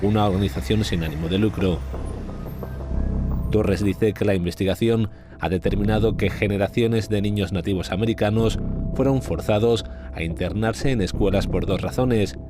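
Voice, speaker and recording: A male news reporter reads a scripted voice-over in a measured, even way. His voice sits a little low. Clear music runs beneath the narration throughout.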